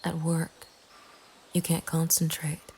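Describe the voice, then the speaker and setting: Woman whispering an asmr horror story, breathy n flat, some ambient noise behind her. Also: low-pitched, slow pace. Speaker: narrator. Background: nature ambience.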